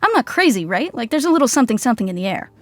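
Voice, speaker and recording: A woman's voice: fairly high pitch, big pitch swings, rapid-fire. A woman playing the narrator of a sitcom podcast, wry and conversational, full of asides. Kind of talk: scripted comedic narration.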